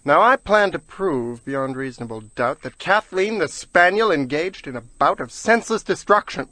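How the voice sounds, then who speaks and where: Slick tomcat lawyer, bright forceful voice, dry close sound, accusing courtroom oratory, rhymed radio comedy. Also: male voice, on the high side, animated, normal speed, dry recording. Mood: accusing, confident. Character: smooth-talking tomcat prosecutor, slick and accusing. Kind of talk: comic radio play in rhymed couplets.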